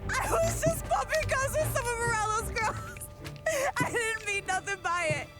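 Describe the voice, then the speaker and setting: Very high, frightened informant. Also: female voice, normal speed. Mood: nervous denial. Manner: nervous, pleading, ungrammatical street talk. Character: frightened, pleading young female gangland informant. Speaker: video game actor. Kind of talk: cinematic crime drama acting. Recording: loud music under the dialogue.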